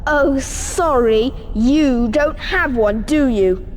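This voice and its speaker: A sneering, snobbish schoolboy bully in a game cutscene. The voice is high and haughty, drawling its mockery in a reverberant hall with faint noise. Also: male voice, takes it slowly. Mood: mocking contempt. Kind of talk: video game cutscene acting.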